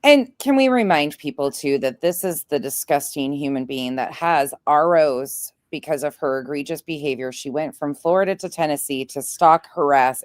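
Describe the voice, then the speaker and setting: A woman's voice: deep voice, dry recording, clean studio sound. A woman giving casual reaction commentary in a blunt, sarcastic, crude-talking way. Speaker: online show commentator.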